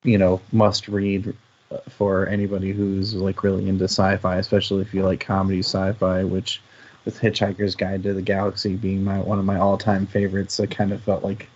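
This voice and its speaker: A man hosting a book discussion show, chatting casually and haltingly as he thinks aloud. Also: sits low, monotone, a little mellow. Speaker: book club show host. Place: live online stream. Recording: dull, lo-fi audio.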